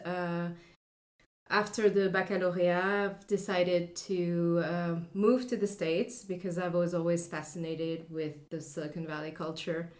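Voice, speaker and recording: A woman in her thirties from France with a French accent, telling her personal story as a podcast guest in a soft, reflective way with many pauses and filler sounds. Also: fairly low pitch, echoey room.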